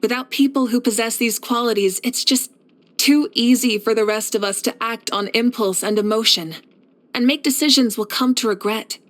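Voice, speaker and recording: A woman voicing a steadfast, caring warrior leader in a video game, speaking in a measured, reflective and assured way. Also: fairly high pitch, fairly bright tone, close to an everyday voice. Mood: calm, assured. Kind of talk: scripted video game character voice lines.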